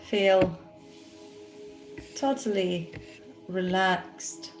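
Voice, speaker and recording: A woman leading a guided meditation as a yoga nidra teacher, speaking in a soothing, instructional manner with long pauses. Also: medium pitch, soft background music. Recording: roomy, echoey sound.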